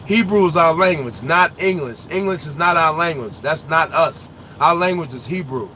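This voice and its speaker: A man who drives trucks, talking casually in his truck cab on the road, loud and animated with slangy trash talk. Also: a little high, normal speed, slight background noise, rough recording. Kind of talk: casual ranting chat while driving.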